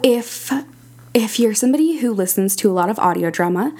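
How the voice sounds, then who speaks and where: A woman's voice: mid-range voice, expressive, brisk, a little mellow. A woman speaking as an interview guest, explaining things in an articulate, conversational way. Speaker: podcast critic and audio drama creator, guest.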